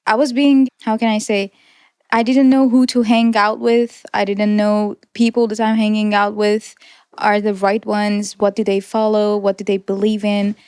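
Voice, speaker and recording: Earnest young woman, smooth clear voice. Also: medium pitch, level tone, normal speed, darkish tone, no room sound, clean studio sound. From Iran. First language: Persian. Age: 20s. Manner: reflective, earnest, with small restarts and light laughs. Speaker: interview guest. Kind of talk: personal testimony in conversation.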